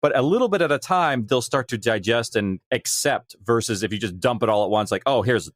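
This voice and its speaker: A man of average pitch gives a conversational interview as a storyteller. He explains things and slips into imagined quotes, recorded close and dry. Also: lively intonation, fairly quick, no room sound. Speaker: podcast guest, audio drama creator.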